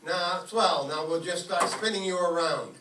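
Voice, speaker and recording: An actor playing an eager, dim but helpful teenage schoolboy in an old-time radio comedy. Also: male voice, high voice, a little slow. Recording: echoey, distant stage pickup, clear noise.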